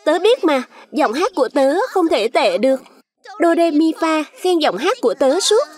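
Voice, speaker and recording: A woman's voice: high-pitched, very animated, normal speed. A woman voicing a vain little girl pineapple, showy and self-assured, in a children's cartoon dub. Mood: proud, delighted. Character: vain, cheerful little girl pineapple who loves singing.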